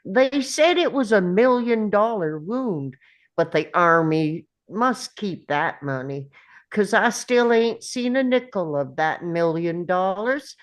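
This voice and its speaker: A woman playing a slow, simple, earnest young Southern soldier man in a script table read, speaking plainly. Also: medium pitch, animated.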